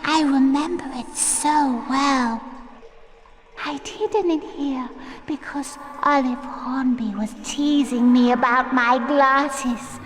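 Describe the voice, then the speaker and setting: Plaintive teenage ghost girl, high voice, slow drawn-out melodrama, video game, long reverb. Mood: mournful, dramatic. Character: mournful, touchy teenage girl ghost of a bathroom.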